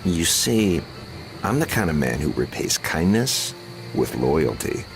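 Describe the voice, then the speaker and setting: A man playing a sharp-tongued, scornful but loyal strategist in a dramatic video game, speaking bluntly and articulately. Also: low voice, sing-song, a little slow, vocal fry, background noise, heavily acted. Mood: wry, confident.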